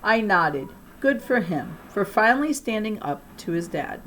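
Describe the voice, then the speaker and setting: A woman reading a children's novel aloud as an expressive narrator, with deliberate, emphatic phrasing. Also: medium pitch, a little hiss or hum, rough recording. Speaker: audiobook-style reader of a children's novel.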